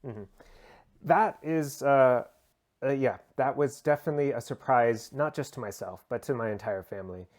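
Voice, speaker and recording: A man's voice: medium pitch, normal speed, darkish tone. Conversational American man, interview guest. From United States. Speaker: interview guest, human rights lawyer.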